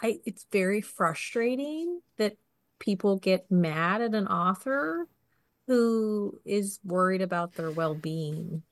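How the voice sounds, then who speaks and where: A woman's voice: mid-range voice, animated, slow, no echo. A woman in casual conversation who rambles and fills her talk with "like". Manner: rambling, lots of "like" fillers. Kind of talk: casual chat.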